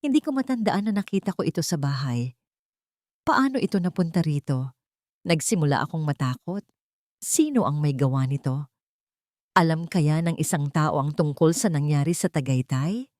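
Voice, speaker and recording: Low-voiced woman narrating a dramatic story. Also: animated, normal speed, pauses often, a little mellow, no echo, studio quality. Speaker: audiobook narrator.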